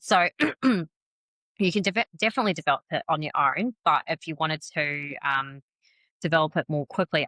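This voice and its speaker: A woman talking casually as a podcast guest about her work as a psychic medium, chatty and quick to laugh at herself. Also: sits low, a bit bright, no room sound. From New Zealand and Malaysian family. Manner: chatty, self-deprecating, laughs often. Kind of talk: casual interview conversation.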